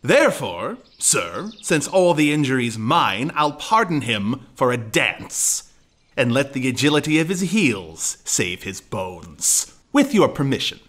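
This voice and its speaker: Farce role for a man: scheming servant, slow and deliberate, highly animated, blustering and indignant. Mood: sly, smooth. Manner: blustering, exaggerated, archaic. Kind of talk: farcical period audio drama acting.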